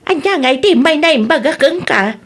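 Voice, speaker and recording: A woman's voice: high voice, animated, normal speed, fairly bright tone. A woman with an Ilocano accent playing a nagging, no-nonsense village housewife in a comedy radio drama. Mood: complaining.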